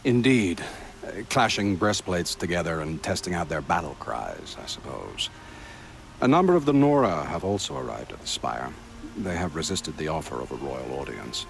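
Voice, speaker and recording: Mature royal counsellor, game cutscene: very low, animated inflection, dry wit, polished formality. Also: male voice, creaky, a little hiss or hum, full character voice. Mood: wry, composed. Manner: formal, measured, drily witty. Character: urbane, wry, courtly royal advisor, mature man. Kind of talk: video game cutscene acting.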